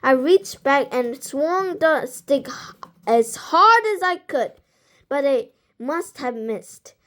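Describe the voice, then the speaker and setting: Slow, high-pitched woman reading a storybook. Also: animated, a bit bright. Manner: halting, with stumbles over words. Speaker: amateur book reader. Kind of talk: reading a children's storybook aloud.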